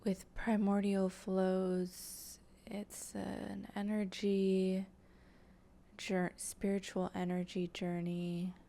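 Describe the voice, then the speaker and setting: Slow, dark-voiced woman, soft and breathy. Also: medium pitch, flat delivery. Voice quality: soft, breathy, often slipping into whisper. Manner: slow, halting, gentle delivery with long pauses. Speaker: guided meditation host and channeler. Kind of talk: improvised channeled guided meditation.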